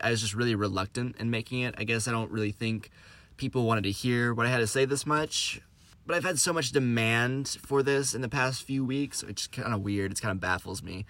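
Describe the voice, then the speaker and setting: A man's voice: mid-range voice, bright, forceful tone. A young man hosting his own podcast, telling stories in a rambling, self-deprecating way with lots of fillers. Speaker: podcast host. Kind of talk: casual storytelling monologue.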